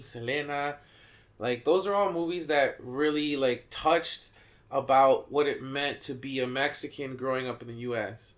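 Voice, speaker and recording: A young man from Chicago with a Chicano accent, hosting a casual podcast chat, animated and quick to laugh, with plenty of slang. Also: mid-range voice. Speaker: podcast host.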